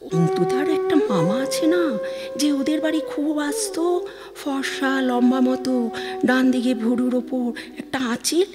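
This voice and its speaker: A woman voicing a gentle, dutiful grown daughter with a soft, coaxing manner in an audio drama. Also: high-pitched, lively intonation, moderate pace. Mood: confiding. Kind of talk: audio drama recitation of a phone dialogue. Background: music.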